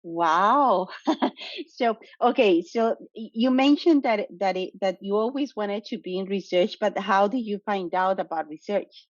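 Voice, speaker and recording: A woman's voice: mid-range voice, unhurried, no echo, highs cut off. A woman hosting an interview, speaking hesitantly with many fillers. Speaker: host.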